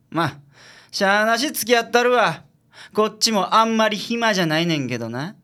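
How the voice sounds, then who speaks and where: ラジオドラマで、関西弁のぶっきらぼうで愚痴っぽい私立探偵を演じる男性。. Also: male voice, high-pitched, lively intonation, normal speed, pushed and bright. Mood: skeptical, grumbling. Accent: Kansai. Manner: blunt, grumbling. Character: gruff, grumbling male private detective boss. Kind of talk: radio drama acting.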